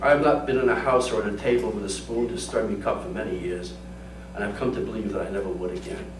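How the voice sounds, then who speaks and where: A man's voice: lowish voice, fairly even intonation, fast, natural voice. A man voicing a weathered, wistful sailor home after many years, with folksy diction and a pleading, wounded manner, in a story reading. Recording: roomy, distant mic, faint noise.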